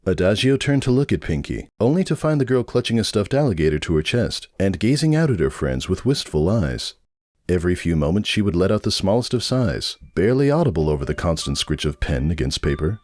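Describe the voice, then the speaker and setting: A man's voice: low-pitched, very animated, brisk, a little mellow, no echo. A man narrating a story in a scripted, dramatic reading style. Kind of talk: dramatic fan fiction narration.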